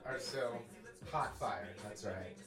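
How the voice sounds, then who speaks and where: A man's voice: lowish voice, slow pace, echoey room, music in the background. A man in his late twenties from Peru, a podcast guest chatting casually in an easygoing way and laughing often. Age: late 20s. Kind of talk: casual chat.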